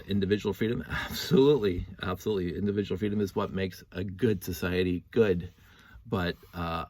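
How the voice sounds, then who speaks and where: Male host, fifties, deep register, dry sound; casual opinionated monologue, earnest, stop-and-start delivery. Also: no echo. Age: 50s. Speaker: host of a story-time monologue.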